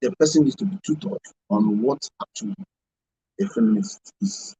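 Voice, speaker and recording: A Nigerian man explains his points emphatically in a radio discussion. His voice is creaky and husky, with a very lively pitch that rises and falls. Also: normal speed, no room sound, poor audio. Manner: emphatic, repeats himself. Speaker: radio show panelist. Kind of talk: explanatory talk show discussion.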